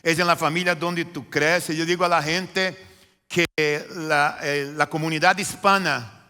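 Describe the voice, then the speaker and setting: A man from Brazil, a native Portuguese speaker, preaching a sermon as pastor in a church with a Brazilian Portuguese accent, repeating phrases and swinging between loud emphatic lines and quiet low ones. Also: steady tone, measured pace, in a large hall. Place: church sanctuary.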